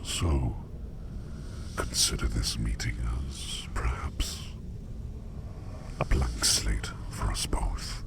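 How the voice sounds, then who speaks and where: A man playing a curious, enigmatic sleep demon in an ASMR roleplay, whispering slowly in formal, musing phrases with a gravelly creak. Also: exaggerated voice. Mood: intrigued, courteous. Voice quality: breathy whisper over very deep gravelly creak.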